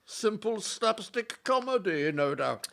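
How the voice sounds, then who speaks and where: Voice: mid-range voice, animated, slow pace, vocal fry, fairly bright tone, full character voice. An actor playing a haughty, easily offended Elizabethan male playwright in an audio play, speaking in a pompous, formal, disdainful way. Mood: disdainful, sarcastic.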